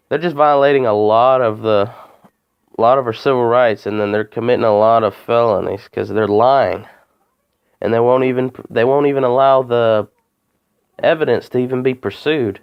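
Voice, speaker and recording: A man making a complaint by phone, talking in a halting, rambling way and repeating himself. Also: mid-range voice, clean tone. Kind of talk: phone call, informal account. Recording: muffled phone call recording.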